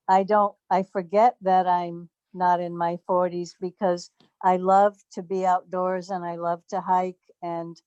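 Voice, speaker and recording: Slow, flat-voiced woman in her seventies. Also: on the low side, smooth, no echo. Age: 70s. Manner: slow, even, thoughtful, with hesitations. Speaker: community group member. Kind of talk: casual group sharing. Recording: dull dry lo-fi audio.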